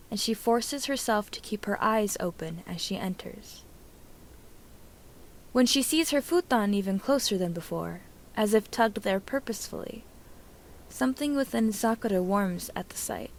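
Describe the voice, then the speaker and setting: Amateur female audiobook narrator, medium pitch, expressive scripted storytelling.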